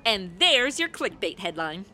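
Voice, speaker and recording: A woman's voice: medium pitch, sing-song, a little slow, pushed and bright, faint music behind. A woman playing a brash, self-promoting publicist in a comic radio play, breezy and sardonic. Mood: pushy.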